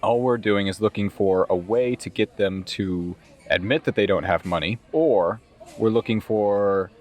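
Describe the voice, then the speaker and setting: A man's voice: on the low side, measured pace. A man playing a tabletop role-playing game, methodically talking through plans in a casual, in-character way. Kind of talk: casual in-game planning and roleplay.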